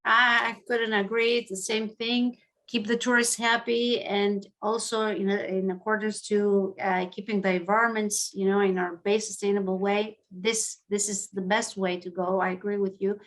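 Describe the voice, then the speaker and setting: A woman academic moderating a panel in a formal, halting way. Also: medium pitch, little pitch movement, fairly bright tone, low-quality recording.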